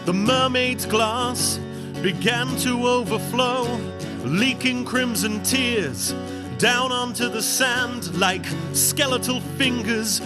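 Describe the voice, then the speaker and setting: Very slow, high-voiced woman over folk guitar. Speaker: folk performer. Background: prominent guitar folk music.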